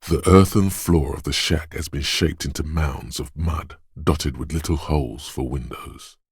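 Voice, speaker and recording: A man voicing an inner sense of perception in a video game, narrating in a hushed, husky, deliberate and poetic way, savoring each sound. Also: a bass voice, no room sound, studio quality, full character voice. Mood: hushed, watchful. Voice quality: husky, gravelly, breathy. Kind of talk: atmospheric scripted game narration.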